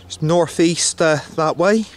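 Hesitant man with a slightly higher voice. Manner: hesitant, pausing, matter-of-fact. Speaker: radio hobbyist filming himself. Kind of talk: casual outdoor vlog narration. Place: outdoors on an open hilltop. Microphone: handheld camcorder, operator behind camera.

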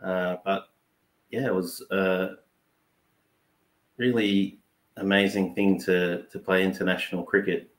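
An Australian man in his thirties with a deep voice and little variation in pitch. He chats casually in an interview, over a video call with noticeable room echo. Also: flat delivery, a little slow, pauses often. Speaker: cricket player and team manager. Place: small indoor room, seated on a couch. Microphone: shared video call microphone, at some distance.

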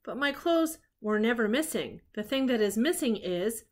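A friendly young puppet boy from a storybook, read by a woman. He muses earnestly in a gee-whiz way and worries easily, with lively pitch. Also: normal speed, lightly acted. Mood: worried.

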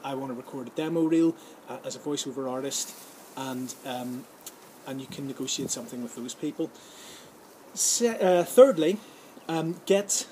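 A middle-aged man giving informal advice to camera in a halting, conversational way, recorded outdoors. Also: on the high side, darkish tone, low-quality recording. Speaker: host giving voice-over advice. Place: outdoors in a sunny garden or patio. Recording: outdoor ambient background noise.

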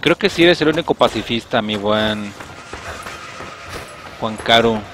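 A man's voice: mid-range voice, a little slow, a little hiss or hum. A young man with a Mexican accent giving joking, casual commentary while streaming a game and talking to his chat. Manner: joking, animated, talks to chat. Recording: music playing behind voice.

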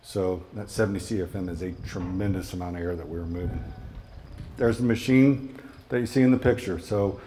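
Low-voiced man presenting, noisy background. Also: brisk, mellow. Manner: conversational, explanatory, checks in with the audience. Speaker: product specialist presenter. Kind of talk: informal technical product presentation.

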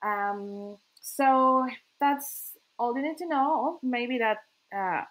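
A woman with a Colombian accent and Spanish as her native language, introducing herself informally as a podcast host, with hesitant, pause-filled delivery. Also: fairly high pitch, fairly bright tone, rough recording.

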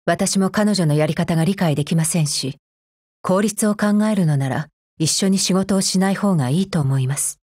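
A woman's voice: lowish voice, moderate pace, a little mellow, breathy edge, no room sound, clean studio sound. A woman playing a cold, efficiency-minded female combat android in a mobile game, speaking formally in a clipped, stern, unemotional way. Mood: coldly displeased. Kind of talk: scripted mobile game character voice lines.

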